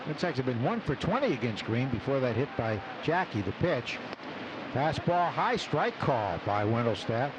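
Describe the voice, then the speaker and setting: Male baseball radio announcer over loud ballpark noise. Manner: clipped phrases with stats between pitches. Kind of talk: live baseball radio play-by-play, with sponsor reads. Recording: noisy live outdoor radio broadcast. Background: loud ballpark ambience.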